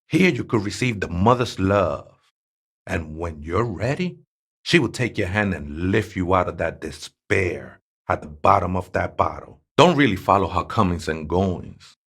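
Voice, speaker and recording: A streetwise convert with a low-pitched voice and a Chicano accent, in a video game. He is a devout former drinker who mixes barrio slang with fervent preaching, urging others toward salvation. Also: expressive, a little slow, creaky, fairly bright tone, husky, full character voice. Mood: laid-back, coaxing. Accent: Chicano Spanish-English. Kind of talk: character dialogue for a role-playing game.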